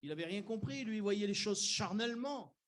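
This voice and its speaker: A man's voice: high-pitched, lively intonation, brisk, fairly bright tone. A man from Algeria preaching a sermon in church, chatting directly with the congregation and building up to emphatic declarations. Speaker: pastor.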